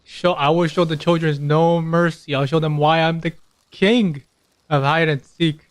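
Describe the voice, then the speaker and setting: A man with a fairly high voice commenting casually on a game. He is irreverent and jokey, talking in mock boasts. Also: steady tone, a little slow, dry recording. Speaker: gameplay streamer.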